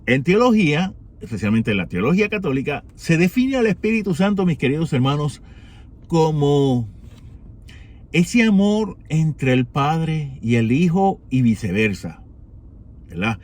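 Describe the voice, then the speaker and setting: Slow-spoken man preaching. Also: fairly high pitch, big pitch swings, a little mellow. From Puerto Rico. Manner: unhurried, pausing, frequent rhetorical questions. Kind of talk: morning prayer reflection.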